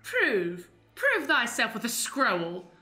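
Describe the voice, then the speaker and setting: A woman's voice: on the high side, huge pitch swings, slow, bright, forceful tone, faint music behind, full character voice. A woman playing a haughty, suspicious noblewoman in an improvised comedy radio play, pompous and mock-archaic.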